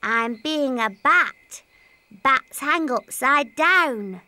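Very high-pitched stubborn little princess. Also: female voice, animated, extremely slow, sharp tone, exaggerated voice. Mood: playful, insistent. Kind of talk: preschool cartoon acting. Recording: music under the voice.

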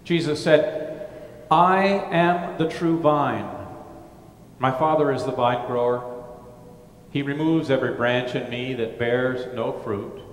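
A man's voice: mid-range voice, slow pace, pauses often, a little hiss or hum. A middle-aged male pastor giving a gospel reading and sermon in a brick church, chatty and informal, with asides and restarts. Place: brick-walled church sanctuary. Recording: echoey church with long reverb.

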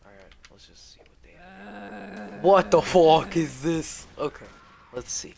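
A man's voice: on the high side, a little slow, vocal fry, darkish tone. Young man mumbling through a game stream. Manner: rambling, mumbly, swears freely, many fillers. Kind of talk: casual gaming livestream commentary.

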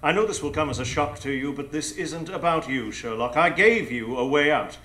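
Casting: grandiose male pirate mastermind played by a man, sharp bright voice, mocking and theatrical, live radio drama. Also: on the high side, moderate pace, lightly acted. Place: improv theatre stage before a live audience.

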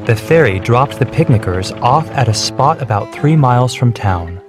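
A man reading a children's storybook, clear and measured. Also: medium pitch, expressive. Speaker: narrator. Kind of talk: scripted storybook narration. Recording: soft background music.

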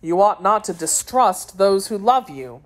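A woman's voice: medium pitch, animated, a little slow, pitched above the performer's own voice, lightly acted. A woman voicing an ardent, persistent young gallant in an audiobook, with a courtly, earnest manner. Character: ardent, jealous, persistent gallant young man under twenty.

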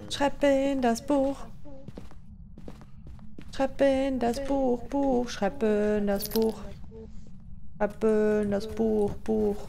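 A woman's voice: on the high side, level tone, extremely slow, vocal fry, pauses often, mellow, a little hiss or hum. A young woman streaming a ghost-hunting game on a headset mic, chatting with teammates and repeating calls to the ghost. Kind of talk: live gameplay chat.

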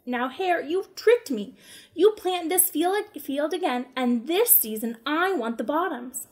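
A woman's voice: high-pitched, animated, a little slow, voice pushed up. A woman voicing a sleepy, grumpy male bear in a children's story who complains indignantly. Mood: aggrieved.

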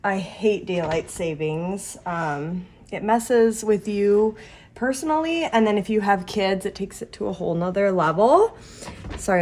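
An American woman in her thirties chatting casually to a handheld phone as a parent vlogger. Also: lowish voice. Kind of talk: casual vlog chat. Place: indoors at home, then outdoors in yard and driveway. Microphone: handheld phone camera. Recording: clearly noisy background.

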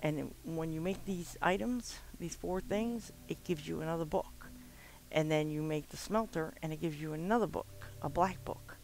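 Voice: medium pitch, moderate pace, darkish tone, low-quality recording. A nasal, stuffed-up gamer chatting casually through a let's play, rambling with lots of fillers and the odd swear word. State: stuffed-up from swimming. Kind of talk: casual gameplay commentary.

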